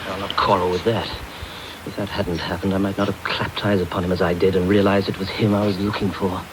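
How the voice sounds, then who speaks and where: A man's voice: low voice, flat delivery, brisk, close to an everyday voice. A man playing a sinister, bullying conspirator in a radio drama, curt, bitter and menacing. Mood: bitter, accusing. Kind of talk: 1990s radio drama acting. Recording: noisy 1990s medium-wave radio tape.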